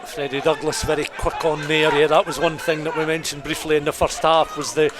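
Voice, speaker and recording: A man's voice: fairly high pitch, flat delivery, a little fast. A man giving detailed, descriptive live play-by-play rugby commentary for radio. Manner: descriptive, detailed scene-setting. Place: rugby stadium. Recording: crowd noise behind live outdoor commentary. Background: stadium crowd.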